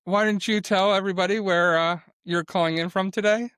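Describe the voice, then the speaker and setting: A man's voice: high voice, steady tone, a little fast, fairly bright tone, clean tone, dry recording, clean studio sound. A man co-hosting a podcast, asking questions with many hesitant uhs. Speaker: podcast co-host.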